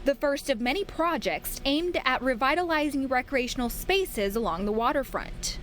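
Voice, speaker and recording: High-voiced woman reporting TV news. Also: animated, normal speed, fairly bright tone. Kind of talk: scripted news package narration and live report.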